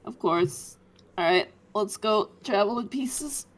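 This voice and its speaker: A woman's voice: mid-range voice, animated, takes it slowly. A woman playing a tabletop role-playing game, casually describing actions and speaking in character.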